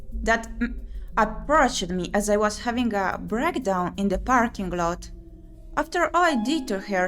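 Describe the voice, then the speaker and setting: Woman doing a jittery sarcastic young girl exploring in a creepy visual novel, talks to herself, medium pitch, music under it. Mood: bitter, upset. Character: uneasy, sardonic young female explorer in an eerie game. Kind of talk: visual novel character voicing. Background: game music.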